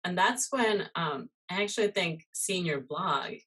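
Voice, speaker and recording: Woman from costa rica, pretty low voice, rambly casual chat with lots of um and you know. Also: animated, measured pace, fairly bright tone, slightly rough. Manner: chatty, many fillers and "you know". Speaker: guest, muralist and illustrator.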